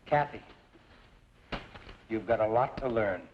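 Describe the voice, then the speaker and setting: An actor playing a resigned, paternal middle-aged newspaper editor in an old western drama. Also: male voice, fairly low pitch, slow. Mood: firm, resigned. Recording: dull old soundtrack, faint hiss.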